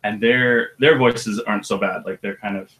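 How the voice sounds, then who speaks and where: An audiobook narrator with a deep voice, talking about his work in a relaxed, thoughtful way with some hesitation. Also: normal speed, low-quality recording. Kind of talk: casual interview chat.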